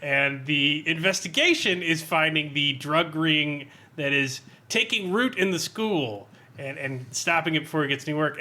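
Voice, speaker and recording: A man co-hosting a podcast, giving an animated, sweary comedic review of a role-playing adventure, reading bits out and laughing often. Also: a little high. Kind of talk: casual comedic review with read-out excerpts.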